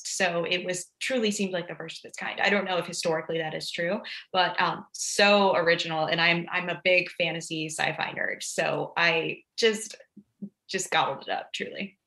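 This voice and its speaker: A woman guest chatting casually and enthusiastically on a podcast, halting and repeating words as she goes. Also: on the low side, steady tone, gravelly, sharp tone. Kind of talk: casual chat. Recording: very roomy, echoey sound.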